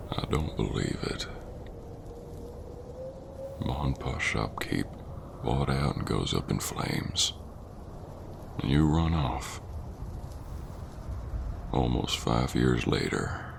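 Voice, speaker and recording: A man playing a stern, gentlemanly Old West bounty hunter with a gravelly voice and folksy talk, in an ASMR roleplay. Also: lively intonation, background noise, full character voice. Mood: stunned, hurt. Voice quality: very deep, gravelly. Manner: slow-burning, stern gentlemanly drawling talk with folksy grammar. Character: gruff, principled Old West bounty hunter, male, wounded ex-lover. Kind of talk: ASMR roleplay acting addressed to the listener.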